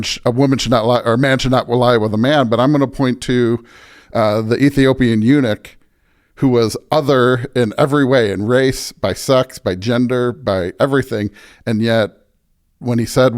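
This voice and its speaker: A man's voice: mid-range voice, fairly even intonation, moderate pace, darkish tone. An American man talking as a pastor in a conversational interview, earnest and emphatic, often checking in with "right?" and "you know". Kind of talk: conversational podcast interview, explaining beliefs.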